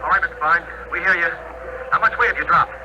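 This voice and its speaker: A man's voice: fairly high pitch, quick pace. A man acting in a 1950s radio drama as an urgent, official mission controller speaking over a radio link. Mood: tense. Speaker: radio actor. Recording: noisy, muffled old radio broadcast.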